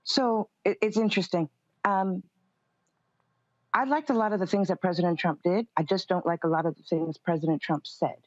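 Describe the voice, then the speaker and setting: Lower-voiced woman guest, dull dry audio. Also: moderate pace, no room sound. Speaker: political commentator guest. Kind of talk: live interview answers.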